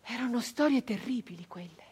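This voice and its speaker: An actress performing a theatrical radio monologue as a wistful, dreamy peasant woman recalling her girlhood, with halting repetitions and a breathy delivery that moves between whispers and fervour. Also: on the high side, expressive, a little mellow. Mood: hushed, uneasy. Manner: halting repetitions, dropping into breathy whispers and swelling to fervent cries. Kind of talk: theatrical radio monologue, dramatic first-person storytelling.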